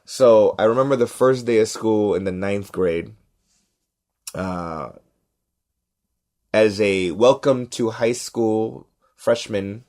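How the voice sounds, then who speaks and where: A man tells stories in a casual podcast chat. He is animated and often checks in with "right?" He acts out the other people in his stories. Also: lowish voice. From Los Angeles, United States. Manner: animated, acts out quoted voices, repeats "right?". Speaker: podcast cohost, stand-up comedian. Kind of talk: casual storytelling.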